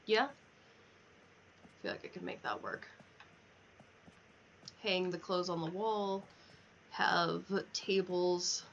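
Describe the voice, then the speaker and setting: Casting: female game streamer, mid pitch, casual thinking-aloud mutter, muffled lo-fi recording with noise behind. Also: very animated, very slow, long pauses, fairly bright tone. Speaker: livestreamer. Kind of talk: casual chat while playing a video game. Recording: dull lo-fi mic, clear background noise.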